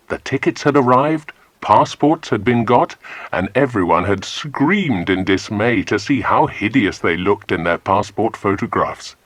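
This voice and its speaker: A man's voice: fairly low pitch, slightly rough, no echo. A man narrating a children's audiobook as a storyteller.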